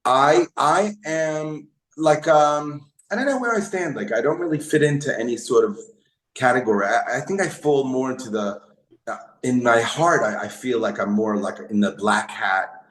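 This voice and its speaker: A man who is a comedian, talking casually in an interview, halting with many restarts and fillers. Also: medium pitch, roomy sound, low-quality recording. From Brooklyn, New York. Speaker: comedian guest.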